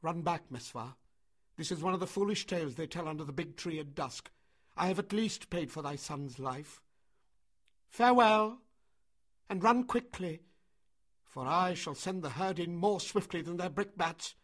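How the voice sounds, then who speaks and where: A man's voice: a little high, moderate pace, fairly bright tone, pitched above the performer's own voice. A man voicing a proud, defiant wolf-raised boy in a story, with a declamatory manner. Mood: urgent, proud. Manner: declamatory, scornful.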